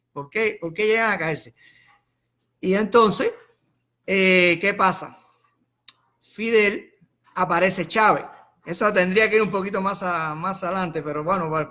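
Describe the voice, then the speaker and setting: A man with a Cuban accent giving an informal political monologue, haltingly repeating words and phrases and putting rhetorical questions to the listener. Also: high voice, sharp tone. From Cuba. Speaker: political commentator on a live stream.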